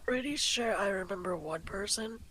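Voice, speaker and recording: A woman's voice: mid-range voice, moderate pace, bright, forceful tone, rough recording. A woman chatting informally as a livestream co-host, tending to repeat her phrases. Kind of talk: casual livestream chat.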